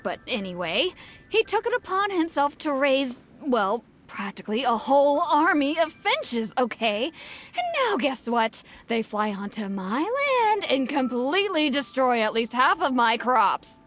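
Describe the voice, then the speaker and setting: High-pitched exasperated village farmer woman. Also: very animated, a little slow, a bit bright, soft background music, exaggerated voice. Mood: indignant. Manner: exasperated, sarcastic. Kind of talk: video game voice acting.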